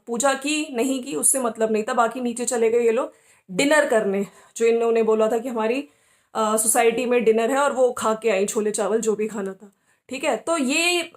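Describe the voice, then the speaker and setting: A woman giving casual opinion commentary as a host, talking conversationally and often checking for agreement. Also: a little high. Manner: conversational, often seeking agreement with tag questions.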